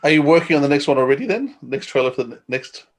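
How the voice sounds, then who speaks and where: A man's voice: fairly high pitch, lively intonation, fast talker. A man hosting a podcast, chatting casually as he interviews a guest.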